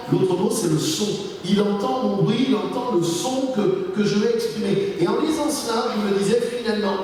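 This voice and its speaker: A man preaching a sermon as a pastor, earnest and deliberate, repeating phrases for emphasis. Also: high voice, darkish tone. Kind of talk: sermon with scripture readings. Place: large reverberant church hall. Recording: echoey hall, distant mic, faint noise.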